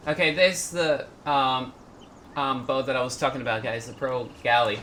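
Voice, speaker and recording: A man hosting a gaming video, giving casual, halting commentary as he thinks aloud. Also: mid-range voice, steady tone, pushed and bright, slight background noise.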